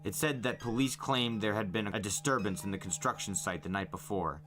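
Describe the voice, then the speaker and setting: A man narrating a first-person novel aloud in an expressive storytelling way. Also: on the low side, brisk, faint music behind. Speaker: podcast host reading a novel aloud. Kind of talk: first-person audiobook-style narration with acted dialogue.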